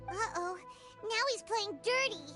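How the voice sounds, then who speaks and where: A woman voicing a tiny, cute, indignant childlike companion sprite in an anime game, with a squeaky voice. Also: expressive, a little slow, music in the background. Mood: wary. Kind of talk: anime game character acting.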